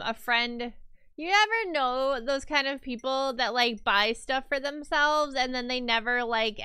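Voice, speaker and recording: A woman's voice: fairly high pitch, pushed and bright, clear, resonant tone, dry recording. A woman livestreamer chatting casually with her viewers, a little stuffy from a cold, rambling with fillers and restarts.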